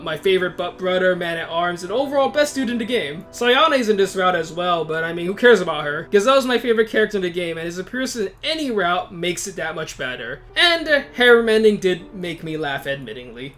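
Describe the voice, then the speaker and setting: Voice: mid-range voice, expressive, fairly quick, hardly any pauses, a bit bright, faint music behind. A video host reading a scripted but casual review, informal and opinionated, with wry asides.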